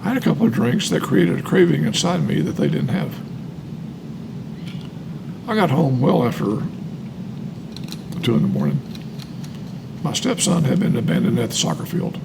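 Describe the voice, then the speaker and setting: A man's voice: on the high side, animated, normal speed, gravelly, pauses often, noisy background. An American man reading aloud and sharing personal testimony, emphatic and repeating phrases for weight. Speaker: co-host reading and commenting on a recovery book.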